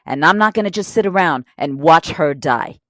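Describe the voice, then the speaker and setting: A woman's voice: sits low, lively intonation, moderate pace, no echo, studio quality. A woman playing a loyal, hot-tempered robber wracked with guilt in an audio drama, speaking in a blunt, earnest, profane way. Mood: grave, insistent. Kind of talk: audio drama crime acting.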